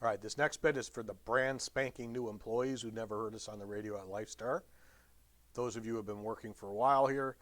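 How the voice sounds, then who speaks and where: Man, lower-pitched, instructional lecture, blunt, wry, pauses for emphasis, dry room-free sound. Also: steady tone, a bit bright, no echo. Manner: blunt, direct, wry humor. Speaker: EMS training instructor. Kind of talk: instructional lecture on radio procedure.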